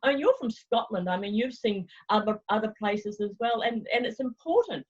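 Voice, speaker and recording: A woman's voice: fairly high pitch, moderate pace, slightly rough. Chatty New woman on a lo-fi video call. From New Zealand. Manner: lively, laughs often, digressive. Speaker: travel agency owner. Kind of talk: remote interview, chatty. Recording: dull lo-fi video call audio.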